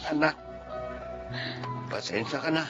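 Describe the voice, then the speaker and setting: A gentle, humble father in a radio drama. He speaks tenderly and earnestly in a slightly high voice, over faint music. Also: lively intonation, slow. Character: gentle, patient father with dwarfism, humble and loving. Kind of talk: Tagalog radio drama acting. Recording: dull lo-fi audio with music underneath.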